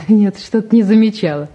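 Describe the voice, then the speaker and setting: An actress playing a calm young woman in a naturalistic drama, composed and lightly ironic as she probes and teases. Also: mid-range voice, unhurried, highs cut off, lightly acted. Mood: gently probing. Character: calm, gently teasing young woman photographer visiting. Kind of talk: naturalistic stage and radio drama acting.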